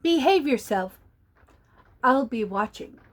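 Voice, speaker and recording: A woman voicing a calm, motherly female guardian angel who speaks gently but firmly. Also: high-pitched, slow, full character voice.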